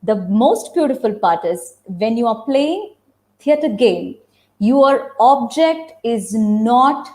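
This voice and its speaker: A woman's voice: on the high side, animated, takes it slowly, darkish tone. Emphatic woman explaining on a webinar. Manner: emphatic, repeats key phrases. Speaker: guest theatre practitioner.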